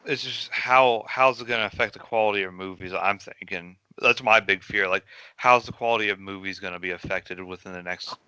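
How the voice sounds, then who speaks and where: A man hosting a casual podcast, with a bright, crisp voice. The sound is dry and close. He leads the talk and asks questions. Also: lowish voice, a little fast, few pauses, no echo, rough recording. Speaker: podcast host.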